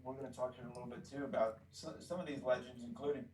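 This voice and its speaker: A man's voice: medium pitch, fairly even intonation, very fast, a little mellow. A man hosting a podcast interview in an encouraging, casual way with many fillers. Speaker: podcast host. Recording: roomy distant mic with faint noise.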